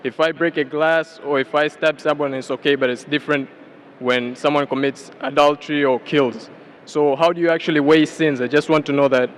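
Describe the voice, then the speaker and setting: A man from Tanzania speaks politely as an audience questioner at a lecture. His voice is a little high, with faint background noise on the microphone. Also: steady tone, brisk. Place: public lecture venue.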